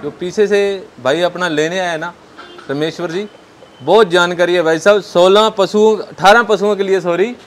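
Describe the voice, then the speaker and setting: Male farmer-dealer. High voice, agreeable, casual answers over some farm noise. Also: normal speed. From northern India. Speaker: dairy farmer and cattle dealer, interviewee. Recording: clear background noise, farm ambience.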